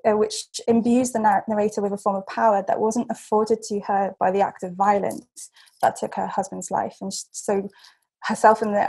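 Young woman seminar speaker on a webcam call, reading her paper at a measured pace with ums and restarts; warm, slightly husky voice. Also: mid-range voice, low-quality recording. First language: English. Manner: measured, with frequent ums and self-corrections.

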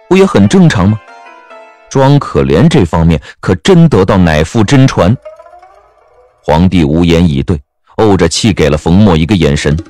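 A man narrates a story with wry expression. His voice is fairly low and lively in pitch, with soft music underneath.

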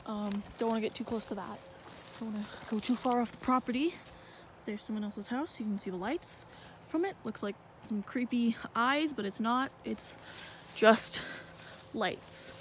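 A young woman with a fairly high voice wanders through a dark marsh at night, filming on her phone. She rambles and hesitates, filling her speech with little fillers. The phone recording carries plenty of outdoor noise. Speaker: vlogger. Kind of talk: handheld night walk vlog. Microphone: phone held in hand.

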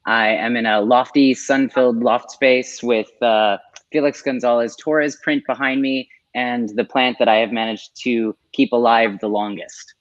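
A man's voice: mid-range voice, steady tone, a little slow, dry recording. A man panelist describing himself cheerfully on a video call from a sunny loft at home. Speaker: panelist, film director. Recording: slightly dull video-call audio.

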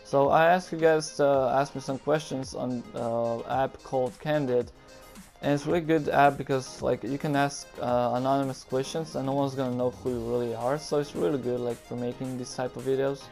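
A man's voice: medium pitch, steady tone, moderate pace, vocal fry, darkish tone, soft background music. A young man from Croatia with a Croatian accent, hosting a casual Q and A over game footage, hesitant and nervous with many ums and likes. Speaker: gaming YouTuber.

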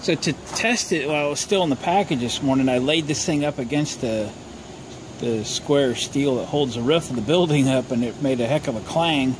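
A man with a mid-range voice hosts a casual workshop vlog. He is informal and folksy, thinking out loud as he goes. The recording is made in a driveway and open garage, with noticeable background noise. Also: mid-range voice, normal speed. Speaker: DIY workshop host. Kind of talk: casual tool demonstration vlog. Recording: clear background noise, outdoor ambience.

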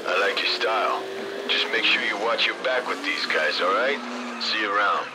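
A man's voice: lowish voice, normal speed, close to an everyday voice. An actor playing a laid-back male street racer in a video game, talking in a casual, streetwise way. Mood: approving. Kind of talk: video game cutscene acting. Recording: loud car engine noise behind.

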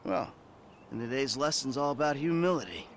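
An actor playing a jaded, hot-tempered middle-aged American criminal in a video game, with a cynical, profane way of talking. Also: male voice, mid-range voice, lively intonation, brisk, slight background noise, close to an everyday voice. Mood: cynical, weary. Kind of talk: video game cutscene acting.

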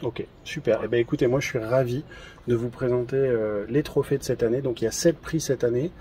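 A man in his thirties presenting trophies outdoors in a garden, in a relaxed, hesitant casual chat with many fillers. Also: medium pitch, fairly quick, a little hiss or hum. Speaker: event organizer presenting trophies.

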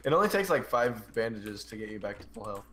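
A man's voice: fairly low pitch, sing-song, quick pace, bright, forceful tone. A man playing as a teammate over voice chat, teasing his friend playfully. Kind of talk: casual gaming chat.

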